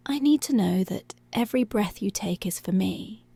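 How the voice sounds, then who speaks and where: A woman playing a commanding, seductive policewoman in an intimate ASMR roleplay, speaking slowly and deliberately in a breathy voice that often drops to a whisper. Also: mid-range voice, lively intonation, dark tone, no echo. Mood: controlling, possessive. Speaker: ASMR voice actor.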